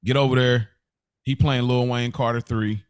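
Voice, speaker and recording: A man's voice: mid-range voice, normal speed, smooth, no room sound. An American man hosting a podcast, chatting casually and telling stories in slangy speech, acting out remembered exchanges. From United States, Kentucky and Indiana. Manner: animated, slangy storyteller who acts out remembered conversations. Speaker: podcast host. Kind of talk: casual storytelling chat.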